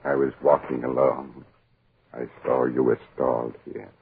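An actor playing an eerie old man, soft-spoken and ominously polite, slow and formal in 1940s radio style. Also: rumbling low, little pitch movement, gravelly, breathy edge, heavily acted. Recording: muffled, narrowband 1940s radio recording.

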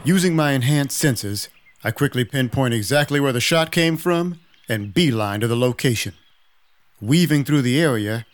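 A man plays a weary male vampire detective in an audio drama. He narrates in first person like a noir gumshoe, dry and deadpan, with a measured delivery and a mid-range voice. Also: medium pitch, close to an everyday voice.

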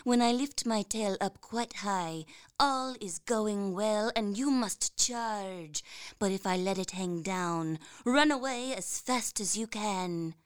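A woman voicing a cunning, vain fox general in a storybook, sly and drawn-out. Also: mid-range voice, clear, resonant tone, close to an everyday voice. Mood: sly, self-satisfied. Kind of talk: storybook character acting.

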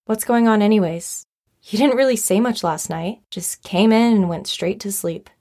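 A woman's voice: mid-range voice, a little mellow, natural voice. Level-headed young female friend played by an actress. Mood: gently probing. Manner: measured, conversational, placating. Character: calm, reasonable young female friend giving gentle counsel. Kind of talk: naturalistic audio drama acting.

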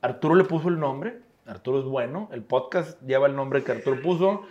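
A man's voice: medium pitch, a little fast, darkish tone, dull audio. A man with a Mexican Spanish accent, co-hosting a podcast in a casual chat with friends, telling stories full of swearing and laughter. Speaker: podcast co-host. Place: studio bar set.

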